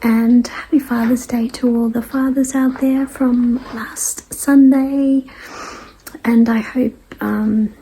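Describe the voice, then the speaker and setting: A woman with a dry, croaky voice, getting over a cold and clearing her throat, chats casually to followers as host of a weekly show in an unhurried, hesitant, rambling way. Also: a little high, little pitch movement, warm tone, a little hiss or hum, poor audio. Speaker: artist hosting a weekly message show. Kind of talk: casual vlog chat to followers.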